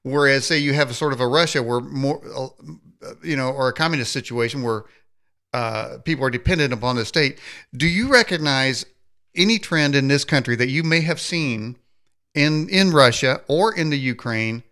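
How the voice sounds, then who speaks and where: Bright-toned male podcast host, halting questions. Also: medium pitch. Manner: rambling, halting questions with fillers. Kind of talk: interview questions.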